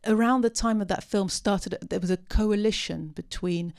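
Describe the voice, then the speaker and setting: A woman speaking unscripted at a post-film discussion, answering audience questions with many pauses, ums and restarts. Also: medium pitch, gravelly, darkish tone. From part Syrian.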